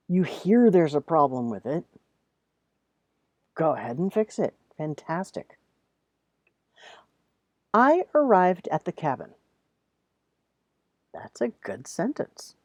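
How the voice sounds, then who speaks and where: A woman talks to students in a low, mellow voice. Her delivery is informal and conversational, with deliberate emphasis on key words. The sound is dry, with almost no room in it. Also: dry recording. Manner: emphatic, reads examples aloud deliberately, then comments plainly. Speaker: writing teacher. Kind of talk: casual instructional talk to students.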